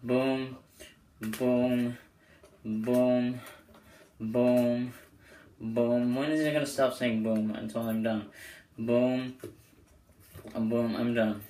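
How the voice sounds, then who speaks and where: A young man with a hoarse voice giving a casual, rambling product review to camera as the host. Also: mid-range voice, level tone, drawn-out words, pauses often, warm tone. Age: young adult. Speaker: host of playing card deck reviews. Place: small bedroom, indoors. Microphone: camera mic a short way off. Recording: roomy echo, clear background noise.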